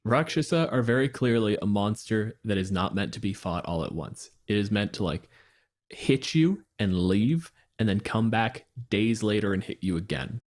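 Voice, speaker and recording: A man co-hosting a casual podcast chat, reading out game rules in a measured, explanatory way. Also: on the low side, lively intonation. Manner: reads stat blocks in a measured, explanatory way. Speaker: podcast co-host.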